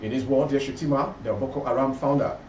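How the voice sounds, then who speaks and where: A man's voice: medium pitch, fairly even intonation, quick pace, a little mellow. A man with a Nigerian accent reads a scripted news bulletin in a formal, declarative manner. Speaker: news reader. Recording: roomy, muffled, distant, faint background noise.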